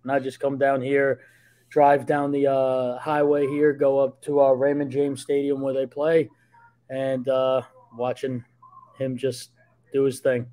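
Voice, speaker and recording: A young man with a New Jersey accent chats as a podcast guest over a home video call. He talks loudly and eagerly, often restarting his sentences as the stories tumble out. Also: medium pitch, completely flat delivery, a little slow. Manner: loud, eager storytelling with frequent restarts. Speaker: guest, sports journalist. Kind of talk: casual podcast chat.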